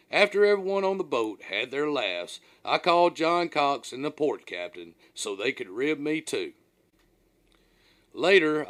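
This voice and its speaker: A man's voice: medium pitch, a bit bright. A man reading his own first-person memoir as an audiobook, in a folksy, dramatic storytelling manner.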